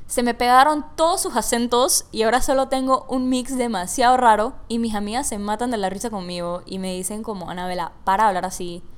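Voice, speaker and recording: A woman's voice: mid-range voice, clean tone. A young woman from Venezuela who grew up in Panama, with a mixed Venezuelan and Panamanian Spanish accent, hosting a casual personal podcast and dropping English words into her Spanish. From Venezuela, raised in Panama. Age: young adult. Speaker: podcast host.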